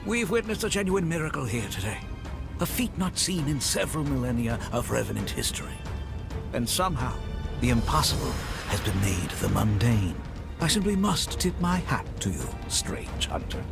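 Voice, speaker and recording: A man's voice: mid-range voice, very animated, moderate pace, creaky. A man acting a flamboyant, grandiose schemer in a video game cutscene, speaking with pompous theatrical flourishes. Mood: theatrical awe. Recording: loud music behind the voice.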